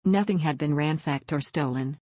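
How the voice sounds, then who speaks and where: A woman narrating a true crime story from a script, measured and slowing down on dates. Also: deep voice, dark tone, no echo, studio quality.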